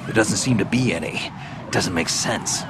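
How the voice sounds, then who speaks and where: An actor playing a cool, weary seasoned soldier in a video game, speaking in a terse, dry, laid-back way. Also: male voice, fairly low pitch, normal speed, noisy background, natural voice. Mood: matter-of-fact. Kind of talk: dramatic video game voice acting.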